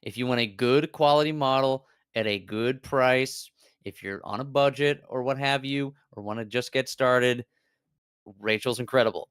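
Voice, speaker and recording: Man, mid-pitched, smooth resonant voice, dry close mic, casual cursing chatter. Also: normal speed, no room sound. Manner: animated, swearing, laughing, frequent fillers. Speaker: VTuber guest. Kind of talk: casual stream chat.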